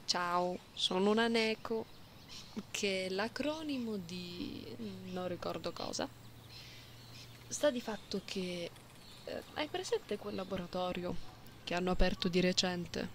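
A woman voicing a playful, caring young catgirl in an intimate, soft ASMR roleplay. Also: lowish voice. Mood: teasing, curious. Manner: soft, unhurried, intimate, sometimes whispery. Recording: binaural close mic, audible background noise.

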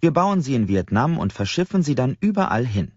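A man's voice: medium pitch, sing-song, fairly quick, slightly rough, voice pushed up. A man voicing a keen, confident young woman programmer in an audiobook dialogue. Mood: proud, explaining. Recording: slightly dull, roomy sound.

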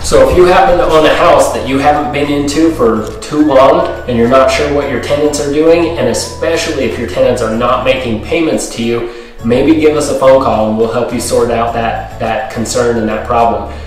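A man in his forties casually showing viewers around a house, talking in a relaxed, plain-spoken way. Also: mid-range voice, normal speed. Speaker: home buyer giving a house tour. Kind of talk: casual walkthrough vlog. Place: outdoors by a house, then inside empty rooms. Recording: echoey rooms, distant mic, music underneath.

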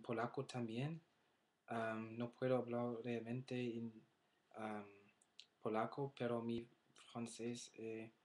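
A young man talks into his laptop webcam from a small bedroom, pausing often and filling the gaps with drawn-out "um"s as he hunts for each word. His delivery is slow and level, with little rise or fall in pitch, at a middle height. It sounds like a learner chatting casually rather than a trained speaker. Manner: hesitant, many filler sounds, searching for words. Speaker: language learner.

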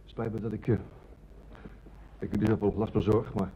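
Man playing anxious worn-down fellow, hesitant, apologetic, lowish mellow voice, radio drama. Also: flat delivery, moderate pace, slight background noise, close to an everyday voice, poor audio. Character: nervous, downcast man with frayed nerves. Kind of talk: Dutch radio play acting.

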